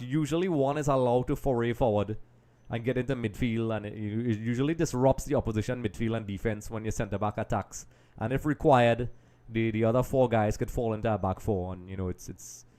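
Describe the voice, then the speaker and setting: A man's voice: mid-range voice, a little mellow. A man from Trinidad and Tobago hosts a live football watch-along, reading viewers' comments and analysing the match in a chatty, analytical way, often saying "um" and addressing "folks.". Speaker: livestream host.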